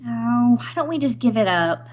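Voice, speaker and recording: A woman's voice: a little high, lively intonation, moderate pace, highs cut off. A woman playing a nervous, easily spooked young wife in an old-time radio comedy, anxious and wryly pleading. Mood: disheartened.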